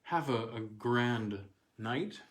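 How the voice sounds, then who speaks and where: A man's voice: mid-range voice, animated, slow, background noise. Man narrating fiction in an echoey room. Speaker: narrator and host of a story reading series. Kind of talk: scripted narration of literary weird fiction. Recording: roomy, echoey sound.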